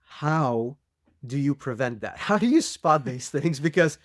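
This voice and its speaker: A man's voice: fairly high pitch, lively intonation, unhurried, dry recording, clean studio sound. A man from Ukraine, co-hosting a podcast and telling a rambling, self-amused personal story. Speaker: podcast co-host. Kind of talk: casual interview, personal story.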